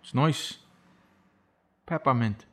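Young convict, mid-pitched, guarded, sardonic, deflecting, naturalistic radio play. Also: male voice, takes it slowly, fairly bright tone. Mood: dry, sardonic. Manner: evasive, deflecting, sarcastic. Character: guarded, sardonic young man in prison for killing. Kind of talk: naturalistic audio drama acting.

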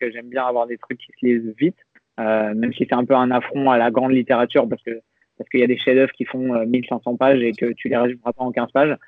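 A man's voice: medium pitch, dry recording. A young adult man, a podcast guest, talking in a reflective, hesitant way with many restarts. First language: French. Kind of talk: casual conversational interview. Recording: dry, phone-like narrow sound.

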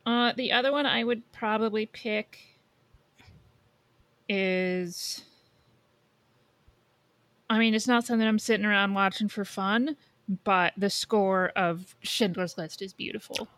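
Woman podcaster, mid-pitched, very dry sound. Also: fairly even intonation, a little slow, long pauses, fairly bright tone, dry recording. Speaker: podcast co-host. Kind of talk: casual pop-culture chat.

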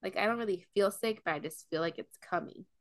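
A woman's voice: mid-range voice, quick pace, no room sound, studio quality. A young woman co-hosting a casual podcast, telling stories between friends, full of "like" and "um," with a cold coming on. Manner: chatty storyteller, lots of "like" and "um". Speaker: podcast co-host.

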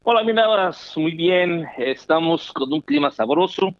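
A middle-aged Mexican man telling his story as an interview guest, animated and chatty with many fillers. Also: on the high side, unhurried, no echo. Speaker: guest, founder. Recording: dry, dull remote call audio.

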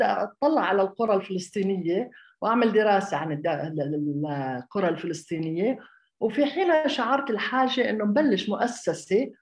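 Fast-talking woman speaker in an echoey room. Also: mid-range voice. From Jordan. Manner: hesitant, with many fillers and restarts. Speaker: architect giving a talk. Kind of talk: conversational public talk.

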